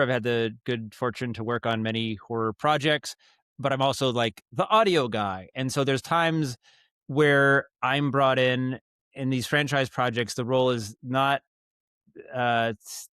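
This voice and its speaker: A man speaking as a guest in a casual interview, thinking aloud haltingly and circling back on his thoughts. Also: medium pitch, a bit bright, clear, resonant tone, no room sound, studio quality. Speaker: podcast guest, writer and director of audio dramas.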